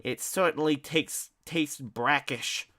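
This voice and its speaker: Brief: haughty, theatrical blowhard, read by a man, pitched high and loud. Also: slow. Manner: pompous, overly formal diction. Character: pompous, fussy grown man, haughty and theatrical.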